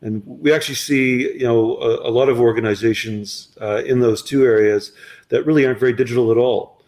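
A man's voice: mid-range voice, steady tone, fairly quick, a little mellow. An eager man explaining his company's offerings in an interview. He often doubles his words and restarts sentences, pushing ahead with enthusiasm. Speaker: company representative. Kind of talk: conversational business interview.

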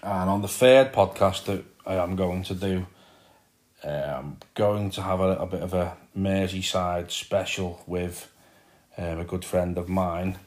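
Low-voiced Liverpudlian podcast host. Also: male voice, steady tone, unhurried, a little mellow. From Liverpool, England. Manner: chatty and rambling, with frequent ums and repeated words. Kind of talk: casual solo podcast talk.

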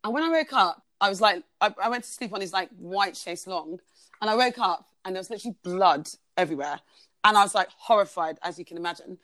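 A woman's voice: mid-range voice, lively intonation, fast talker, a bit bright, no echo. A woman, telling her story as a podcast guest in a chatty way, with many fillers and restarts. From Uganda. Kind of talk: casual conversational storytelling.

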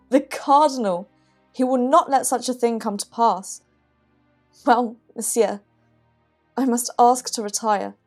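A woman's voice: fairly high pitch, takes it slowly, long pauses, soft background music. An actress playing a proud, wounded French queen in a period audio drama, with a formal, regal manner. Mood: scornful.

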